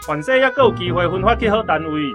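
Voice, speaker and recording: An actor playing a mocking army sergeant scolding new recruits in a radio drama, with a Taiwanese accent. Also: male voice, high voice, lively intonation, fast, music in the background.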